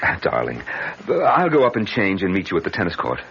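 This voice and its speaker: An actor playing a charming, flustered bachelor with a hesitant, stammering delivery in a radio drama. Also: male voice, sits low, wildly swooping pitch, fast, full character voice. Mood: playful charm. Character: flustered, charming bachelor man trying to propose marriage. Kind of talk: old-time radio drama acting. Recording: muffled old radio drama, loud music.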